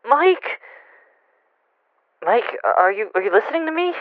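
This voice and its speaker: A man's voice: medium pitch, lively intonation, a little fast, vocal fry, no echo. Man playing a rattled caller through a muffled line. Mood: panicked. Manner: stammering, nervous. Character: rattled, anxious male coworker calling on the phone. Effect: phone or radio filter. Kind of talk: audio drama acting. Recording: muffled, band-limited phone call effect.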